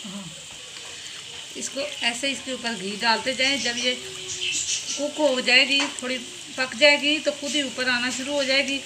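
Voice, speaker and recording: Woman, home cooking host, slightly high voice, phone recording with loud noise and birds behind. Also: measured pace, pauses often. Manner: calm, instructive, talks while working with hands. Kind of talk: step-by-step cooking demonstration. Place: home kitchen.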